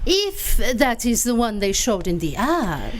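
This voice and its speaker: A woman's voice: a little high, very animated, unhurried. A woman playing a gushing, wheedling girlfriend. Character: eager, fussy woman, crook's girlfriend. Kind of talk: live community radio theater.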